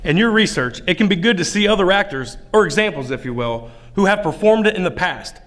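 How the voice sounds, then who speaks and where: A man's voice: sits high, animated, brisk, a bit bright. A man presenting scripted instructional tips on acting.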